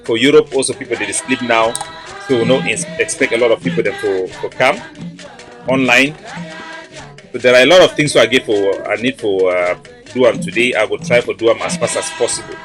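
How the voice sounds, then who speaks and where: A man's voice: medium pitch, fairly quick, fairly bright tone, soft background music. A man in his forties with a Cameroonian Pidgin English accent, hosting an online show at home in earnest, repetitive, emphatic talk into a webcam. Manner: earnest, repetitive, emphatic, greets viewers by name. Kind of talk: casual livestream address.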